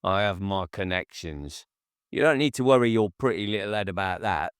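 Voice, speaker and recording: A man's voice: sits low, lively intonation, moderate pace, smooth, no room sound, studio quality, voice pushed up. A man reading an audiobook, voicing a cunning, controlling man who turns from coaxing to hard and dismissive. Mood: scheming. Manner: cajoling then hard and dismissive. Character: controlling, menacing grown man, cunning and short-tempered. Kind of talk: audiobook character voice.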